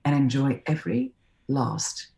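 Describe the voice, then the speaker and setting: A woman's voice: rumbling low, sing-song, a little slow, vocal fry, dark tone, roomy sound, heavily acted. A woman playing a polite, formal, overly courteous character from a video game. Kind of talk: re-enacting video game lines.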